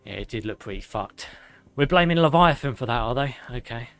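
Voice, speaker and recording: A man streaming a game, reading lore aloud with sarcastic asides, his voice going hoarse and a little out of breath. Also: mid-range voice, animated, a little fast, fairly bright tone, dry recording. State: slightly out of breath. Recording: faint music behind.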